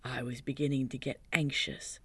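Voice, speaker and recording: A storybook reader voicing a gentle, slow-witted toy bear who talks in a soft, breathy half-whisper, slow and thoughtful. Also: medium pitch, little pitch movement, dry recording, close to an everyday voice. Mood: conspiratorial whisper.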